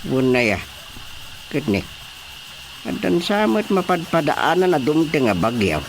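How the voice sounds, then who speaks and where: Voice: medium pitch, big pitch swings, unhurried, gravelly. An actor playing a worried, grumbling older parent in a radio comedy, hesitant and with an Ilocano accent. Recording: noisy scene with ambience.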